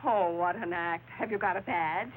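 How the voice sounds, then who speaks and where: A woman's voice: lowish voice, normal speed, phone-quality sound, natural voice. An actress playing a sassy, sardonic undercover policewoman, defiant and sparring, in old noir radio style on a hissy recording. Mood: scornful. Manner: sarcastic, sparring. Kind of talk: mid-century film noir radio acting.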